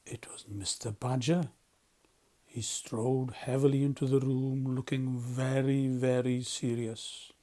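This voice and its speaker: Male storyteller for children's book narration. Mellow, mid-range, expressive read. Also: mid-range voice, slow. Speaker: volunteer storyteller.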